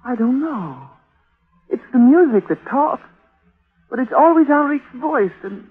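Husky, haunted young fiancée. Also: female voice, high voice, lively intonation, measured pace, exaggerated voice. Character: haunted, fragile young fiancée under hypnotic spell. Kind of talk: 1940s radio mystery acting. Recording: tinny old radio recording with music.

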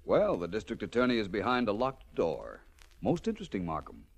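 A low-pitched, suave gentleman detective from a vintage radio mystery. His intonation swings freely, and he speaks with wry, precise self-assurance. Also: wildly swooping pitch, fairly quick, creaky, breathy edge, exaggerated voice. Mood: wry, assured. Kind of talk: 1940s radio detective drama acting. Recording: old 1940s radio transcription.

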